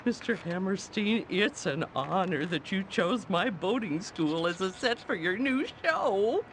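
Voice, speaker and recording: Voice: mid-range voice, lively intonation, unhurried, slight background noise. A performer voicing a prim, anxious middle-aged woman who teaches driving in a cartoon video game, proper and fretful. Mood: polite, earnest.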